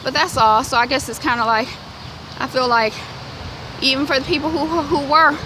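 A woman in her thirties with a Detroit accent, talking to her phone camera while walking down a residential street. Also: moderate pace. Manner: animated, acts out quoted voices with big pitch jumps. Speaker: vlogger. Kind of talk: walk-and-talk vlog chat. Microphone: phone held at arm's length. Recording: outdoor noise from street traffic.

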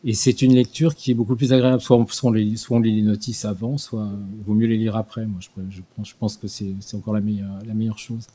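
An older man giving an unscripted talk in a small studio at a desk microphone, halting with frequent restarts and fillers. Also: fairly low pitch, level tone, mellow. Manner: hesitant, many restarts and fillers. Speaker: guest lecturer. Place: small studio with dark purple backdrop. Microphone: desk mic on stand.

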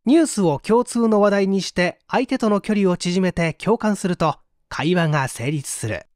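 High-pitched man reading an audiobook aloud. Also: normal speed, darkish tone, no echo, clean studio sound. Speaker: audiobook narrator.

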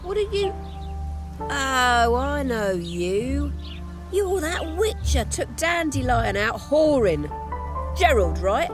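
A sharp-tongued, earthy townswoman from a fantasy game. Her voice is fairly high and swings freely in pitch, with music playing clearly behind it. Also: takes it slowly, exaggerated voice. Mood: grateful, surprised. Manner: expressive, earthy, blunt. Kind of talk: video game cutscene acting.

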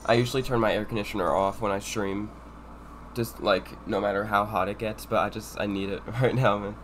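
Sick young guy streaming from his room, sniffling, kinda low voice, mumbly chill commentary. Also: steady tone, fairly quick, fairly bright tone, slight background noise. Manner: low-key, mumbling asides while playing. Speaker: speedrunner streamer. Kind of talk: casual live-stream commentary. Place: home room with air conditioner.

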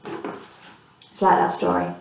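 A woman's voice: takes it slowly, slight background noise. Woman reading a storybook, very echoey. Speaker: storytelling teacher and mother. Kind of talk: reading a picture book aloud to a child. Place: small plain room indoors. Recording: very echoey room, distant microphone.

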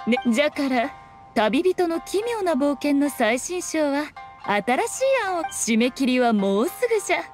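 A sly fox-spirit shrine maiden of an anime game, speaks high and with lively intonation. She is haughty and teasing in archaic, noble wording, with faint music behind. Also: measured pace. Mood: amused, sly. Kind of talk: Japanese anime game voice acting.

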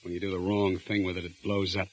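A man's voice: deep voice, flat delivery, quick pace, close to an everyday voice. An actor playing a menacing escaped male convict in a 1940s radio drama, cold and insinuating. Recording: old 1940s radio broadcast with music.

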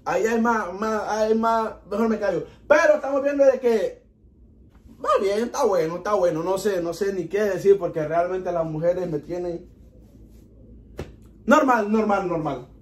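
A young man from Honduras with a Honduran Spanish accent, chatting excitedly as he reacts to a music video in a small room. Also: sits high, moderate pace. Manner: chatty, excitable, animated with hand gestures. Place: small indoor room in front of a TV.

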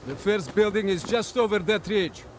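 A man's voice: sits high, moderate pace, a little hiss or hum, lightly acted. A man playing a wry, fatalistic Soviet helicopter pilot and double agent in a video game, with a Russian accent. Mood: wary, wry.

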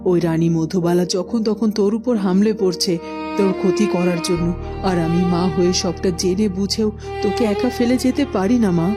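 A woman's voice: on the low side, brisk, music in the background, lightly acted. A woman voicing a worried, protective mother in a fairy-tale cartoon, speaking emphatically. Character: anxious, protective mother of a young heroine.

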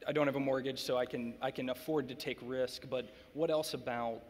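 A man's voice: medium pitch, fairly even intonation. Man asking questions in a reverberant hall. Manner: measured, sets up questions with context. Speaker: interviewer. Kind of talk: onstage interview questions. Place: large hall stage, seated in armchair. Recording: long hall reverb, faint room noise.

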